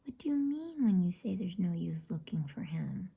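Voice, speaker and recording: A woman's voice: on the low side, very animated, normal speed, gravelly, dark tone, breathy edge, exaggerated voice. A woman voicing a worried, pleading young girl in a storybook, whispering with anxious, polite exclamations. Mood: insistent worry.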